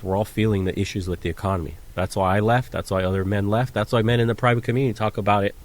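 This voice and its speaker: Romanian-born male host, low-pitched voice, heated profane commentary, brief rant energy. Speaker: channel host. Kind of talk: ranting commentary to camera.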